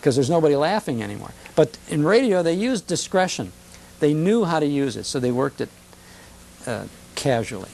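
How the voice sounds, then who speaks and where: A man's voice: a little high, sing-song, normal speed, vocal fry, a little mellow. An American man giving conversational commentary as a guest expert in an interview. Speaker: guest expert on old-time radio.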